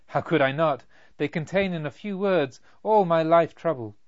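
A man's voice: a little high, moderate pace, no room sound, highs cut off, pitched above the performer's own voice, close to an everyday voice. A man voicing an agitated, fervent young male pilgrim, earnest, wordy and exclamatory, in a storybook reading.

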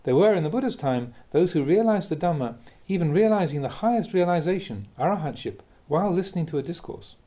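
Expressive, mellow male reader of spiritual teachings. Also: on the high side. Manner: measured, expressive, with rhetorical questions. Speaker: Buddhist monk reading a teacher's talks. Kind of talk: read-aloud Dhamma talk, conversational delivery.

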